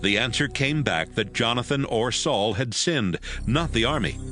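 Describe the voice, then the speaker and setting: A man's voice: mid-range voice, animated, normal speed, bright, forceful tone, soft background music. A man narrating scripture in a scripted, dramatized audio Bible style.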